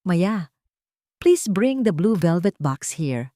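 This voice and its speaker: A woman's voice: lowish voice, very animated, slow, mellow, dry recording, studio quality. A woman voicing a poised, rich fiancée in an audio drama, speaking dramatically with English phrases mixed in. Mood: poised, sweet. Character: poised rich fiancée, falsely sweet, theatrically distraught.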